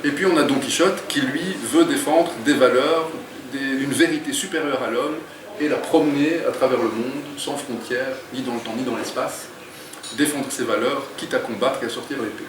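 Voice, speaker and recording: A man's voice: medium pitch, moderate pace, crowd noise. A man, an author, talking in an interview and reading from his book, thoughtful and a little hesitant. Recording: roomy echo, distant mic.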